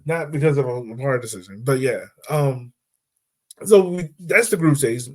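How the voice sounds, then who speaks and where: A man co-hosting a football podcast at a mid-range pitch, chatting loosely. He rambles, doubles back to fix what he just said, and breaks into laughs as he goes. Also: expressive, moderate pace. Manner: rambling, self-correcting, laughs easily. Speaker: podcast co-host. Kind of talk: casual sports chat.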